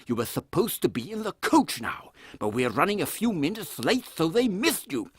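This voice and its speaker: A man voicing an anxious, gentlemanly Victorian captain with an English accent and a lisp, formal and easily flustered in an audio comedy. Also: a little high, very animated, moderate pace, slightly rough, no room sound, clean studio sound, pitched above the performer's own voice. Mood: alarmed.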